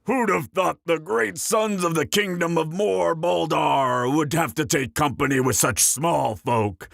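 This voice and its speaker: A man voicing a gruff, boastful male dwarf who breaks into loud outbursts. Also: a little high, measured pace, no echo, clean studio sound. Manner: bombastic, gravelly.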